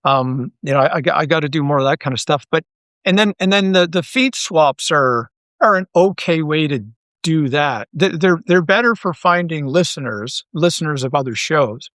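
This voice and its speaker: A man's voice: a little high, expressive, moderate pace, fairly bright tone, no room sound, clean studio sound. A man speaking casually as a podcast guest and creator, self-deprecating and often repeating his words. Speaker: interview guest, audio drama creator.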